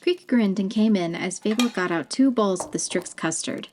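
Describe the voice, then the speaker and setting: A woman narrating a fantasy story in a gentle, unhurried way. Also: mid-range voice, slight background noise. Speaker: audiobook narrator.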